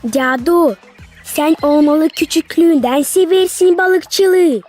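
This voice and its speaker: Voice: very high voice, takes it slowly, darkish tone, faint music behind. A performer playing a curious young girl in a cartoon, asking polite questions. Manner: inquisitive, polite.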